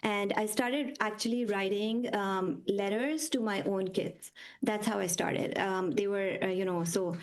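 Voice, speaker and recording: Woman guest author raised in the UAE; casual, halting delivery with frequent fillers. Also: mid-range voice. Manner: hesitant, many ums and uhs, laughs easily. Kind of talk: casual conversation over video call.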